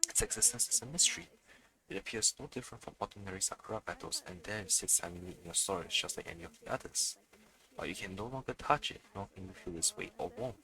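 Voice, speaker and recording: A man's voice: deep voice, lively intonation, fast talker, pushed and bright, low-quality recording. A man doing a let's play, reading game text aloud as he streams. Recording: game music behind the voice. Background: game music.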